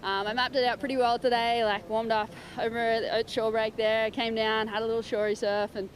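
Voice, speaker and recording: A woman's voice: a little high, little pitch movement, a little fast, slight background noise. A woman surfer in a post-heat interview, talking in an upbeat, chatty way. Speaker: pro surfer being interviewed.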